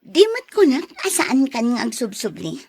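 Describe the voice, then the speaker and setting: A woman's voice: fairly high pitch, very animated, moderate pace, gravelly, a little mellow, husky, no room sound, full character voice. An actress with a Filipino accent playing a resolute young woman leaving her lover, with dramatic radio drama delivery. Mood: firm, dismissive.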